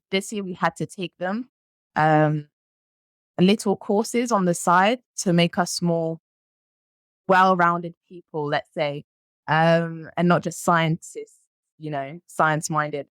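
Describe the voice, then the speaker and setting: 19-year-old French-born woman, lowish voice. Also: steady tone, slow, no echo. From France. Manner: chatty, laughs often, many ums. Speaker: guest. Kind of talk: casual interview chat.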